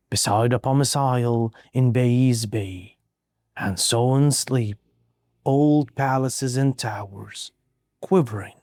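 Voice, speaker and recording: A man reciting poetry in a solemn, measured, dramatic way with deliberate pauses. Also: medium pitch, warm tone, no echo, clean studio sound. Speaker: poetry reader.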